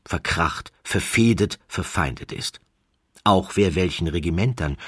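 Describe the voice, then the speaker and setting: A man with a very low, husky voice reads a story as an audiobook narrator. His delivery is measured and dramatic, with deliberate pauses. The sound is very dry and close to the microphone. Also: fairly bright tone, no echo. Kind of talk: scripted literary narration of a biographical story.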